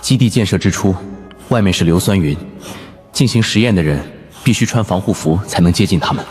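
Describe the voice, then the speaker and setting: A man voicing a composed survivor in an animated drama, explaining grim secrets in a measured, deliberate way. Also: deep voice, faint music behind. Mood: grave, explaining. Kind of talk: animated drama dialogue, expository.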